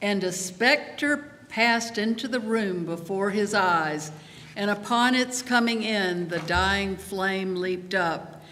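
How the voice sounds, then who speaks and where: Female storyteller, mid pitch, measured slow dramatic narration, expressive, live in an echoing hall. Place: large church, live performance. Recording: reverberant hall, faint background noise.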